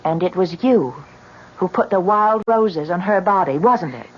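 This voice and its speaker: A woman's voice: on the low side, animated, a little slow. Earnest sceptical woman, dramatically swooping voice. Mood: disbelieving, confronting. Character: earnest, sceptical woman, defiant sister and narrator of horror tale. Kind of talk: 1970s radio drama acting. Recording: dull, noisy old radio recording.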